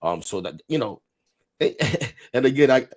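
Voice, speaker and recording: A man in his forties hosting a casual sports talk show, animated and rambling, repeating words and laughing often. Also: mid-range voice, slow pace. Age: 40s. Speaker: podcast host.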